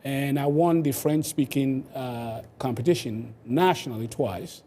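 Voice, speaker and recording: A man's voice: mid-range voice, a little slow, dark tone. An older man with a Ghanaian accent, explaining his work as an interview guest. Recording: clear background noise.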